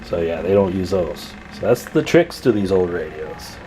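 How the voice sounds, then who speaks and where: A man's voice: low voice, big pitch swings, moderate pace, dark tone, slight background noise, poor audio. A man talking in a folksy, chatty way as he gives a casual hands-on repair demonstration at an indoor workbench. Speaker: radio repair technician and video host.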